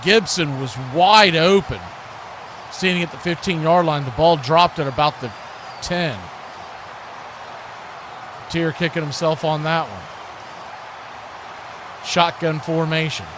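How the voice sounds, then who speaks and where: Male play-by-play sports announcer, slightly high voice, folksy and excitable, noisy live recording. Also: slow pace, pauses often. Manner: folksy, excitable on big plays. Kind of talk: live football play-by-play commentary with asides to viewers. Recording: clear background noise.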